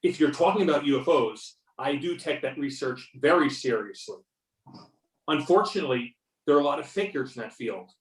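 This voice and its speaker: A man's voice: mid-range voice, animated, brisk, lightly acted. A man playing a sardonic, confident FBI agent in an amateur readers-theater performance, with pointed questioning. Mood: needling, stern. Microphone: videoconference or conference call. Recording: roomy echo, distant microphone.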